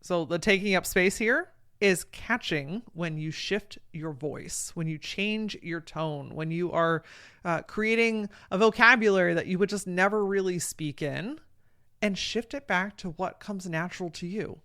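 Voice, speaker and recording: A woman hosting a podcast, talking frankly and encouragingly in a casual way with a deep voice. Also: a little slow, fairly bright tone. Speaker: podcast host. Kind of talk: solo podcast talk, motivational.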